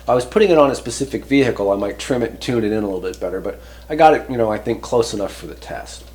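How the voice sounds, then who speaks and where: A man's voice: lowish voice, animated, very fast, a little mellow. A man hosting a hobby video in a casual, rambling, hesitant way with dry, understated humor, walking through a product test. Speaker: host of a CB radio hobby channel.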